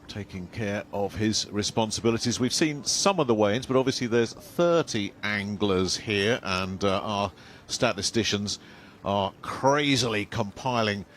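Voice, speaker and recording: A man presenting live sports commentary, jokey and lively. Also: fairly low pitch, a little slow, slight background noise.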